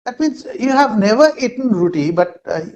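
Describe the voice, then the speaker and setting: A man with an Indian English accent, a native Nepali speaker, giving an online lecture in a halting way with many fillers, over a video call. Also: sits high, expressive, a little mellow, lo-fi sound, a little hiss or hum.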